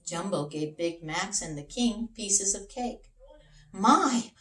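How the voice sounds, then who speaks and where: A woman teacher reading a children's story aloud in an expressive storytelling style. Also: lowish voice, unhurried, recorded from a distance, slight background noise. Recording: roomy echo, away from mic.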